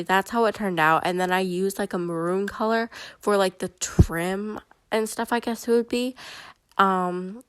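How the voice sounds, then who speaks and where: Chatty woman w a stuffy cold, mid pitch, lots of likes and ums. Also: clear, resonant tone, no room sound. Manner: chatty and excitable, many fillers. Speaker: bullet journal vlogger. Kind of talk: casual voiceover narration.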